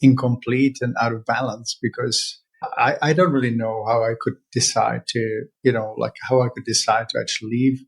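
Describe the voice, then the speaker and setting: A man hosts a conversation, his voice husky and slightly breathy. He talks earnestly and haltingly, circling back with fillers and restarts as he works through his thoughts. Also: mid-range voice, steady tone, low-quality recording. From Czech Republic. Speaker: veterinarian podcast host. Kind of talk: casual interview conversation.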